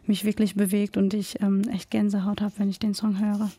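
A woman's voice: mid-range voice, flat delivery, moderate pace, warm tone, husky, no echo. A woman from Afghanistan speaking earnestly and with feeling as a guest in a personal interview. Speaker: interview guest, women's aid activist.

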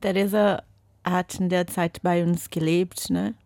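Slow, laughing young woman. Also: fairly low pitch, flat delivery, gravelly, a little mellow, no room sound, clean studio sound. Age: young adult. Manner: searching non-native phrasing, laughs often. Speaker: interview guest. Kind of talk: casual chat. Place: radio studio.

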